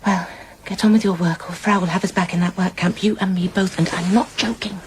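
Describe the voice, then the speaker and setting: An actress playing a chatty, worldly-wise working-class housemaid in a radio drama, blunt and bossy in a matter-of-fact way. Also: female voice, fairly low pitch, steady tone, fairly quick, slight background noise, natural voice. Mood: brisk, bossy.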